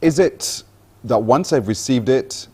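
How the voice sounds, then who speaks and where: A man's voice: mid-range voice, animated, a little slow, warm tone, breathy edge. A man hosting a morning TV show, chatting with a guest in an upbeat, encouraging way. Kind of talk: casual TV interview. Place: TV studio.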